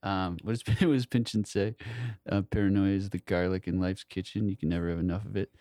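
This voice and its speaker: Male co-host, lowish voice, slangy and wry, loose conversational delivery, dry close-mic sound. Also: flat delivery, brisk, dark tone, no room sound. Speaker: podcast co-host. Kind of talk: casual chat.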